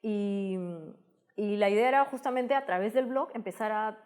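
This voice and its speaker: A woman's voice: mid-range voice, moderate pace, a little mellow. A woman with a Peruvian accent answering interview questions at length, thoughtful, often restarting and adding tag questions. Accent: Peruvian Spanish. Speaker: guest, tech communicator.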